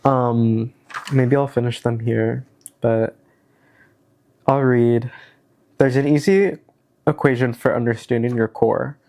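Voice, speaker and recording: Nasal, slow, rambling man with a cold. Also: mid-range voice, fairly even intonation, darkish tone. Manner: rambling, hesitant, many fillers. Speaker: vlogger. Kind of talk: casual chat, reading worksheet aloud.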